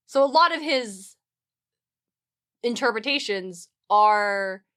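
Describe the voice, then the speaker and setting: A woman's voice: fairly high pitch, lively intonation, slow pace, pushed and bright, clear, resonant tone. Wry, opinionated woman explaining casually. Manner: informal, wry, opinionated, with swearing. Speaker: podcast co-host. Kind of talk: casual, researched podcast explainer.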